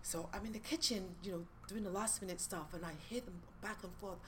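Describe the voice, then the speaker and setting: A woman who looks after children, telling a lively story to a group and acting out the kids. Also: mid-range voice, fairly quick, a little hiss or hum. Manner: lively, acts out children's voices. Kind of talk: casual storytelling.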